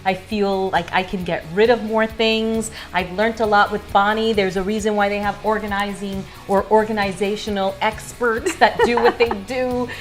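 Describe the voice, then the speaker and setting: A woman hosting a show, talking casually in an effusive, expressive way. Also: medium pitch, moderate pace, few pauses. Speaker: cooking show host. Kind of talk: casual lifestyle show chat. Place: home kitchen, indoors. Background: background music.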